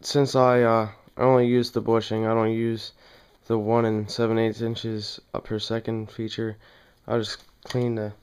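A man giving a casual hands-on tutorial at home, talking plainly and haltingly as he works. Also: on the low side, monotone, darkish tone, smooth. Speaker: demonstrator in a home how-to video. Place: small dim room indoors at home.

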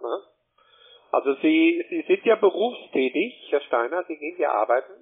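Husky sales guy on a tinny phone call, wordy pitch, keeps tagging questions on. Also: lively intonation, normal speed. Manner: wordy, frequent checking tag questions. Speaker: telemarketer. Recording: muffled narrowband phone line.

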